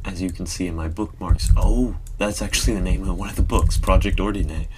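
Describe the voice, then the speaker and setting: A man's voice: deep voice, fairly even intonation, brisk, background noise. A man chatting casually and rambling in a self-deprecating way while playing a computer game on a live stream.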